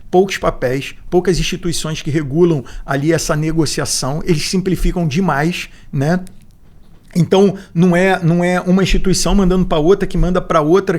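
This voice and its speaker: A man's voice: a little high, steady tone, a little fast, darkish tone. A man in his forties with a Brazilian accent, a podcast guest who talks reflectively and repeats words as he gathers his thoughts. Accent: Brazilian Portuguese. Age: 40s. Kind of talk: podcast interview.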